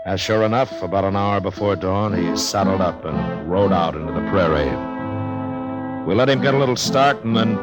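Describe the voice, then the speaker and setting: An actor playing a level-headed Old West marshal in a 1950s radio drama, speaking in a measured, laconic, matter-of-fact way. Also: male voice, deep voice, lively intonation, over loud music. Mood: sober, reflective. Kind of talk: 1950s radio western acting and narration.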